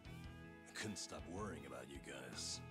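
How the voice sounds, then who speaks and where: An actor playing a gruff but loyal, remorseful comrade in a video game, speaking bluntly and sincerely. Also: male voice, a bass voice, expressive, a little slow. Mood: earnest resolve. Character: gruff, remorseful, loyal male friend returning to party. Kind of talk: dramatic video game voice acting. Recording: loud game music behind voice.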